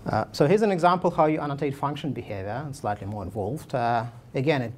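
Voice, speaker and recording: A man's voice: mid-range voice, fast, a little hiss or hum. A man in his thirties giving a conference talk with slides, speaking casually with many fillers and restarts. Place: meetup room, indoors.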